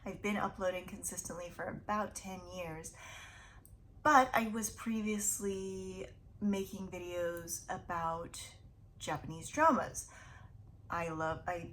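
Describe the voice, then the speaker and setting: A woman's voice: fairly low pitch, steady tone, slow pace, fairly bright tone, rough recording. A woman in her thirties hosting a casual book vlog, chatting in a rambling, self-deprecating way. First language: English. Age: 30s. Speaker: YouTube book channel host. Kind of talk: casual to-camera vlog chat.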